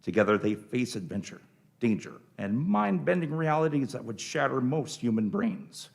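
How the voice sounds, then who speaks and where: A man reads dramatic radio play narration with deliberate, theatrical phrasing. His mid-range voice moves animatedly and has a gravelly fry. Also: medium pitch, expressive.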